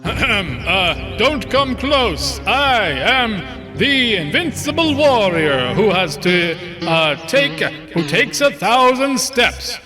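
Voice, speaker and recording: A man voicing a tiny boastful centipede posing as an invincible warrior in an animated children's fairy tale, with a pompous, drawn-out delivery. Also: high-pitched, exaggerated voice. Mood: nervous bluster. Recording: music behind the voice.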